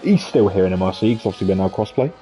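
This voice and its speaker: A man's voice: sits low, lively intonation, racing, mellow. A man giving live, chatty commentary on an online racing league, upbeat with playful asides. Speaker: esports race commentator.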